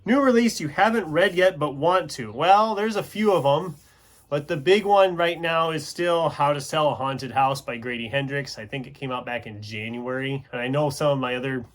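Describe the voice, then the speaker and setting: A man talking casually and unscripted as a book video host, rambling and often interrupting himself in a conversational way. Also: a little high. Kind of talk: casual unscripted chat to camera.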